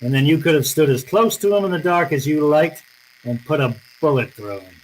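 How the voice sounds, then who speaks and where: Radio drama detective, male actor: tough, cynical, clipped and accusing, slightly high male voice, noisy background. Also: brisk, a little mellow, lightly acted. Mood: accusing, hard. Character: hard-boiled male private detective, cynical, unyielding. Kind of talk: old-time radio drama acting.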